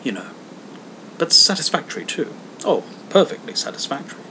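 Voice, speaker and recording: A man reading literary fiction aloud as an expressive audiobook narrator. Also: on the high side, a little fast, a little hiss or hum, low-quality recording. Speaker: audiobook reader.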